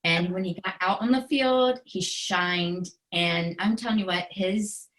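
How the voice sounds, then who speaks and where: An American woman in middle age speaks with a voice a little lower than most women's. She is earnest, emotionally open and conversational, telling personal anecdotes as an educator and author being interviewed. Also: unhurried, fairly bright tone.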